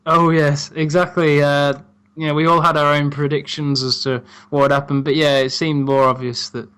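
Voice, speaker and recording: A man chatting casually as a guest co-host, agreeable and quick to laugh. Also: fairly high pitch, little pitch movement, fairly bright tone, clean tone. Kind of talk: casual review chat.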